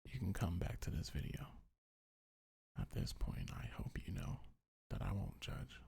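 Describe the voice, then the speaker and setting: A man speaking in a deep, breathy, husky voice, half-whispering gentle, reassuring affirmations in a soothing ASMR style. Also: big pitch swings, measured pace. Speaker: ASMR creator reading a comfort script.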